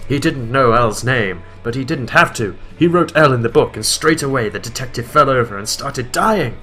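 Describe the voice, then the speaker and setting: A man reads fan fiction aloud as a comedy host. His voice is bright and animated, mock-dramatic with giggly asides. Music plays softly underneath. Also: mid-range voice, moderate pace. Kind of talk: comic dramatic read-aloud with laughing asides.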